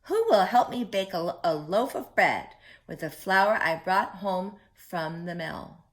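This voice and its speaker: A woman voicing an industrious, self-reliant little hen in a storybook, earnest and deliberate. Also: fairly low pitch, lively intonation. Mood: earnest, determined. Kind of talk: storybook character voice.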